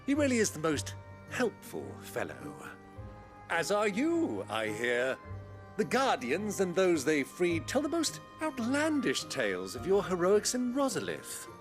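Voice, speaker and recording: A man's voice: sits high, wildly swooping pitch, a little slow, faint music behind, exaggerated voice. Genial, lilting nobleman. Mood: wry, affable. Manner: flowery, genteel, wry. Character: jovial, courtly uncle of a prince, middle-aged nobleman. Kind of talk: theatrical fantasy game dialogue.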